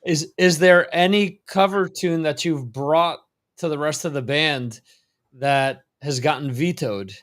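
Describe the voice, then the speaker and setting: A man hosting a casual interview, mostly answering with short replies and asking brief questions. His voice sits a bit higher than average for a man. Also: a little slow, fairly bright tone. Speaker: interview host.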